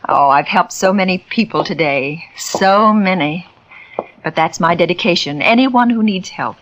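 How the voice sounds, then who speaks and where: A woman's voice: lowish voice, expressive, moderate pace, fairly bright tone, highs cut off, slight background noise. An actress playing a middle-aged fake spiritualist woman in a 1940s radio thriller, syrupy and self-righteous. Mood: coaxing. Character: scheming middle-aged female spiritualist, wheedling and proud.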